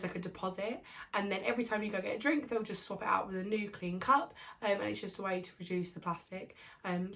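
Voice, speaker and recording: A young woman with a hoarse voice from a cold, chatting casually at home as she walks through her packing. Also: medium pitch, a bit bright, slight background noise. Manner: chatty, rambling, filler-heavy. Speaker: vlogger. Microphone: camera on tripod.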